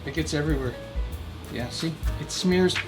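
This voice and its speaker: A man's voice: on the high side, moderate pace, loud music behind the voice. A man hosting a DIY video in a casual, folksy way, with wry asides to the viewer. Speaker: host of a DIY video channel. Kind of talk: casual chatty DIY narration.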